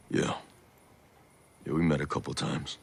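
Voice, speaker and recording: An actor playing a weary, guarded soldier in a video game, with a gravelly, husky voice and terse, subdued delivery. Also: male voice, a bass voice, exaggerated voice. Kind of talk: video game cutscene dialogue.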